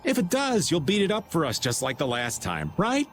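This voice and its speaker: Middle-aged rogue, flippant, drawling, lively pitch, anime game dub, faint music. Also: male voice, moderate pace. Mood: teasing.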